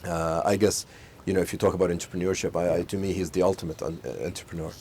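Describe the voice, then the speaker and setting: A man's voice: deep voice, breathy edge. A middle-aged man from Saudi Arabia, a company chief, telling his story in a conversational interview with many fillers and restarts. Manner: fast, animated storytelling with frequent fillers and restarts. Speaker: company CEO, interview guest.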